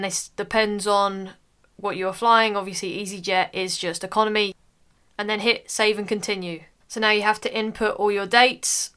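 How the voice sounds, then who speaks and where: A woman's voice: medium pitch, sharp tone, clear, resonant tone. A young woman from the United Kingdom talking casually through an online form, with frequent "uh" fillers. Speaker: tutorial narrator. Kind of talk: casual screen-recording walkthrough.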